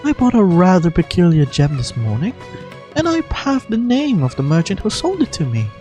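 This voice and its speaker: A woman voicing an earnest young female guardian in a video game, speaking in a measured, formal, polite way. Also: lowish voice, big pitch swings, dark tone, faint music behind. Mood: formal, businesslike. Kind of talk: amateur video game voice acting.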